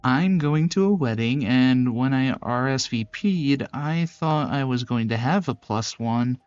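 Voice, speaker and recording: A man's voice: medium pitch, a little slow, a little mellow, clean tone, no room sound, highs cut off, natural voice. A man voicing a shy, sweet, apologetic young woman in a visual novel, bashful and rambling. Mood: nervous, sheepish. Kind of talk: visual novel character voice acting.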